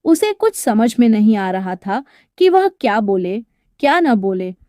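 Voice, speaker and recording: A woman's voice: mid-range voice, big pitch swings, unhurried, mellow, no room sound, clean studio sound. A woman storyteller reading a scripted narration.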